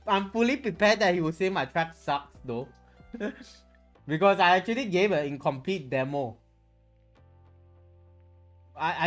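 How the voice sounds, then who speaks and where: Music plays behind a streamer with a Malaysian accent and a medium-pitched voice. The talk is casual and rambling, with phrases repeated and restarted as the thoughts come. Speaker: livestreamer. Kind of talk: casual livestream chat. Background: background music.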